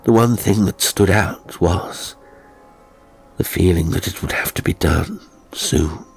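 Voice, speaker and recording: A man voicing a tormented English gentleman in an audiobook, evasive and desperate, with a strained, halting intensity. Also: sits low, creaky, husky, soft background music, full character voice. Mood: haunted, desperate. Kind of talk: audiobook character acting.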